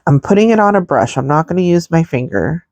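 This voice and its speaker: A woman's voice: deep voice, animated, fairly quick, darkish tone, no echo, lo-fi sound. A woman with a stuffy, congested nose chatting casually through a makeup tutorial, rambling with many fillers. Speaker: makeup vlogger.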